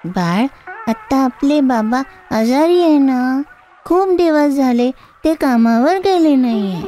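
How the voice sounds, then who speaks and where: A woman voicing a gentle, patient young elder sister in a children's story, speaking soothingly. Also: sits high, voice pushed up. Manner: slow, soothing.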